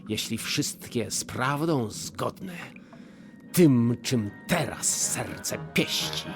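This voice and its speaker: An actor playing a scheming, miserly old notary in a verse radio play, wheedling and falsely sweet. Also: male voice, medium pitch, lively intonation, very slow, soft background music. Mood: vengeful.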